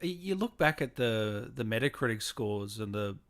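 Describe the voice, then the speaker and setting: A man's voice: lowish voice, animated, normal speed, a bit bright. A man hosting a gaming interview, chatting casually and reacting briefly to his guest. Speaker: gaming show host, interviewer.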